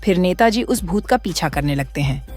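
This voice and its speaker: A woman's voice: on the low side, animated, normal speed, darkish tone, faint music behind. Woman narrating a horror story.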